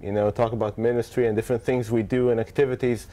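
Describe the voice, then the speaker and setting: A man in his forties, a pastor from Israel whose first language is Hebrew, answering interview questions softly and hesitantly, recorded on a lapel mic in a church hall. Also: mid-range voice, little pitch movement, fast. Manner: soft-spoken, hesitant with fillers.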